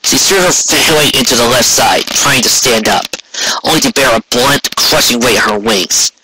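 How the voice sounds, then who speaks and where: A man's voice: mid-range voice, normal speed, noisy background. A man reading scripted fantasy fiction aloud as an audiobook narrator. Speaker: fan fiction audiobook reader.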